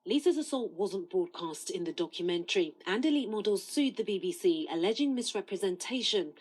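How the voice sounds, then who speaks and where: A woman's voice: medium pitch, animated, fairly quick, darkish tone, breathy edge. A woman narrating a scripted television news report as a correspondent.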